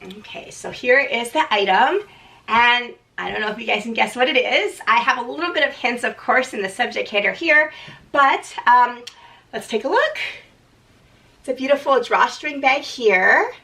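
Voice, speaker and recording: A hoarse woman in her thirties talks her viewers through an unboxing, relaxed and chatty with plenty of "um"s. Her voice is bright and slightly high, with a gravelly edge. She sits a bit back from the microphone. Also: moderate pace, distant mic. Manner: chatty, many restarts and "um"s. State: hoarse from a cold. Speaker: vlogger. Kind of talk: casual unboxing vlog.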